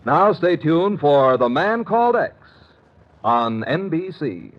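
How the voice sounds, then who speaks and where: A man's voice: fairly high pitch, sing-song, slow. A man with an American accent announcing on 1950s radio in an emphatic, polished broadcast style. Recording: muffled vintage radio, faint music.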